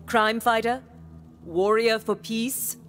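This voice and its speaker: A woman's voice: fairly high pitch, steady tone, slow, faint music behind. A woman playing a proud, confident warrior hero in a video game, self-assured and dry in delivery. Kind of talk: video game character acting.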